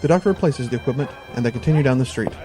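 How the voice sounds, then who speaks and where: A man's voice: medium pitch, racing. Man narrating audio drama over music. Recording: music bed behind voice.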